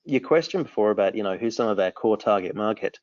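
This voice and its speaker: A New Zealand man in his forties talks with eager energy, often restarting his sentences. His voice sits fairly low. The audio is dry and a little dull, as if captured on a modest mic. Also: animated, fast talker, a little mellow, no echo. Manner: enthusiastic, rambling with frequent restarts. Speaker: company founder. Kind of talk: remote interview answers.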